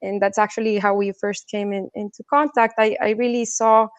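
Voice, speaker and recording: A woman's voice: medium pitch, level tone, a little fast, no room sound, lo-fi sound. Woman, dry close sound, interview guest. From Colombia, also raised in the States and China. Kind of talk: casual conversational interview answers.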